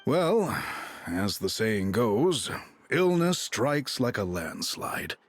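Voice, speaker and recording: A man playing a ponderous, sage-like figure in a video game, with a husky voice. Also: fairly low pitch, big pitch swings, takes it slowly, full character voice. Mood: grave. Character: slow, sage-like male proverb-quoting figure.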